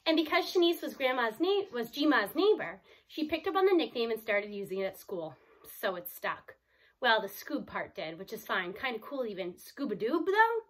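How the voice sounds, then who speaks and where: Woman doing a read aloud, kinda high voice, animated, stops for little asides. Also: moderate pace, rough recording.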